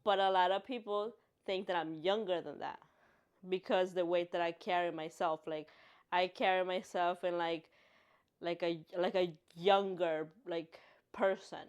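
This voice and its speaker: A woman's voice: lowish voice, steady tone, unhurried, clear, resonant tone, muffled recording. A woman in her late twenties co-hosting a podcast in a casual, chatty style. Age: late 20s. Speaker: podcast co-host.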